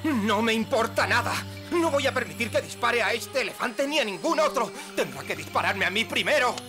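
An actor playing a brave, remorseful farmer in a dubbed cartoon, speaking earnestly. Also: male voice, very high voice, animated, normal speed, music in the background. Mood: defiant. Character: brave, remorseful male farmer turned poacher's helper.

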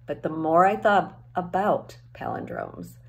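A middle-aged woman reading a children's novel aloud as an expressive storyteller, recorded at home on a handheld-distance device. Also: lowish voice, measured pace. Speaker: teacher reading a book aloud. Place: small home room with bookshelves.

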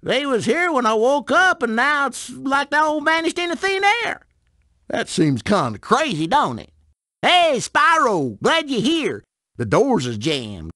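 A man's voice: very high voice, huge pitch swings, a little slow, pushed and bright, no room sound, studio quality, full character voice. A man voicing a simple, good-natured video game farmer with a folksy rural American accent. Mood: folksy, puzzled. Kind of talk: video game character dialogue.

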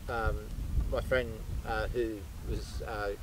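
Low-voiced man speaking haltingly in the wind. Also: little pitch movement. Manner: halting, many fillers and restarts. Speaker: guest who recovered the yacht. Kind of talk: casual interview, telling what happened. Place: beach by the sea, outdoors.

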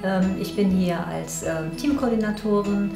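A woman's voice: medium pitch, unhurried, creaky. A woman introducing herself as a team coordinator in an interview. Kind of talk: interview self-introduction for a promotional film. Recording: echoey room with music behind.

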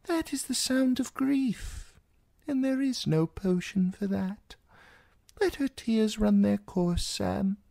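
A narrator voicing a frail, blind, very old male maester who speaks softly and wisely. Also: sits high, creaky, no room sound, pitched above the performer's own voice, full character voice. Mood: gentle. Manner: soft, slow, hushed speech. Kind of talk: audiobook character voice.

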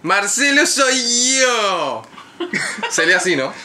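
A young Argentine man chats casually in a group. His high voice is strikingly sharp and swings in a lively way. A camera across the table in a small room records him. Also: slow. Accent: Argentine Spanish. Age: young adult. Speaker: show regular. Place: small tatami inn room, indoors.

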